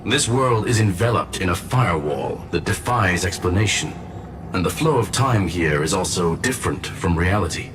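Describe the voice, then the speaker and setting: An actor playing a calm, courteous robot scholar in a game, speaking in a formal, precise, measured way. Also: male voice, sits low, noisy background. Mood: grave, composed. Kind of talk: scripted game story dialogue. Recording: loud music under voice.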